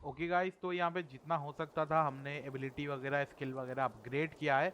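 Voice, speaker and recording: Indian guy doing casual gameplay commentary, talks super fast, slightly high voice, game noise behind. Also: steady tone, lo-fi sound. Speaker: gamer, video host.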